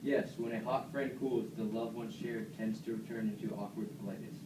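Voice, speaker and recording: A man's voice: lowish voice, fairly even intonation, a little fast, close to an everyday voice. A man playing a proud, wronged animal general in an amateur puppet play. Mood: proud, offended. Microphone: far camera. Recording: echoey classroom, distant mic, clear noise.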